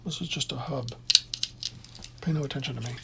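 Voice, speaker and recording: An instructor of unclear sex giving an informal, opinionated tutorial in a husky, conversational voice that rambles along. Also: medium pitch, brisk, fairly bright tone, a little hiss or hum. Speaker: instructor in theater sound.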